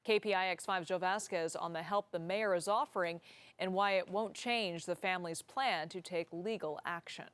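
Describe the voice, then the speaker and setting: A woman's voice: lowish voice, normal speed, fairly bright tone, clean tone, no room sound. Female American news anchor, dry studio sound. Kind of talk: scripted news reading.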